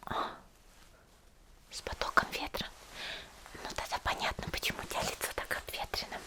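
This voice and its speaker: A woman doing an ASMR roleplay, speaking to the listener in a gentle, caring, unhurried manner. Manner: gentle, caring, unhurried, uses affectionate diminutives. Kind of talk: whispered ASMR roleplay, softly talking to the listener.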